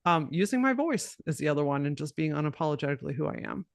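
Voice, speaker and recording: A woman with a low voice talking bluntly and directly as a podcast guest, cussing freely and laughing often. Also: sing-song, fast, vocal fry, dry recording, clean studio sound. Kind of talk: casual podcast conversation.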